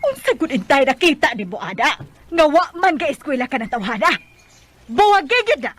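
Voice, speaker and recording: Stern Cebuano mom, high-pitched, scolding, radio drama delivery. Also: female voice, very animated, brisk. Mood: angry, disapproving. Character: stern, disapproving mother scolding her daughter. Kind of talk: Bisaya radio drama acting.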